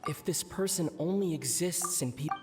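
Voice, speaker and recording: A man voicing a thoughtful, earnest young traveler hero in a video game, reasoning aloud in a measured way. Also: a little high, over music, close to an everyday voice. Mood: pensive.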